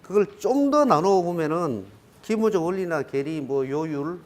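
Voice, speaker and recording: A man's voice: on the high side, lively intonation, moderate pace. A man in his fifties with a regional Korean dialect, giving a classroom lecture in a rambling, self-correcting way with many fillers. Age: 50s.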